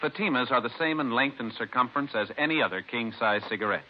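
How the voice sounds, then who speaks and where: Old-time ad voice: American man, medium pitch, emphatic fact-by-fact delivery, dulled recording. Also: fast. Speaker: commercial announcer. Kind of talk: 1950s radio advertising read. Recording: muffled old radio recording.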